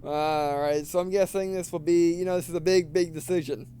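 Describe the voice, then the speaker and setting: A man doing casual let's play commentary, reading game dialogue aloud and thinking out loud, often repeating and restarting his phrases. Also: a little high, fairly even intonation, a little fast, slight background noise. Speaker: gameplay video host.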